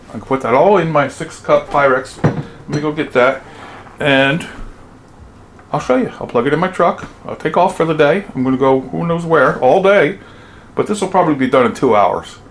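An older man talks through a meal he is making in his home kitchen, casual and upbeat, chuckling as he goes. His voice is of middling pitch, picked up on a clip-on mic. There is a clear hum of noise behind him. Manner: chatty, upbeat, chuckling often. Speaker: cooking vlogger. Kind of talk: casual cooking demo. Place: home kitchen, then pickup truck. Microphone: lapel mic.

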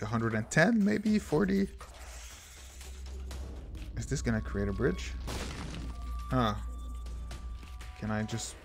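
Guy chatting slowly over his game, rambly and self-deprecating, game music behind. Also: mid-range voice. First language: French. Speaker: gaming channel host. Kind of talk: casual gameplay commentary.

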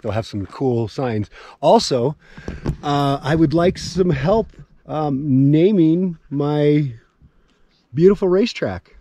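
Man in his forties, easygoing homestead vlogger, camera at arm's length, clear outdoor wind noise. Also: medium pitch. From California. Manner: relaxed, rambling, with many fillers. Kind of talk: casual walking vlog. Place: outdoors on open high desert land. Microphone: camera held at arm's length.

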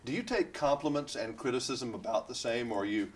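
A man's voice: medium pitch, lively intonation, a little fast, slight background noise. A man interviewing someone in a casual, chatty, informal way.

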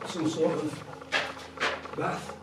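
A man's voice: on the high side, level tone, very slow. A man chatting informally and explaining things, recorded outdoors on a camera microphone. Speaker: sailor, co-host of travel vlog. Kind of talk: casual chat. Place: outdoors at harbours and old ruins. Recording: clear outdoor background noise, camera audio.

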